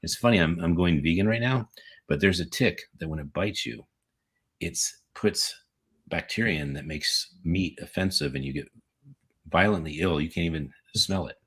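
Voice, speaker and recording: A man's voice: low-pitched, moderate pace, fairly bright tone, husky. An American man co-hosting a casual talk show, rambling and joking and correcting himself as he goes. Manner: rambling, jokey, self-correcting. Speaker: podcast co-host. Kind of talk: casual chat.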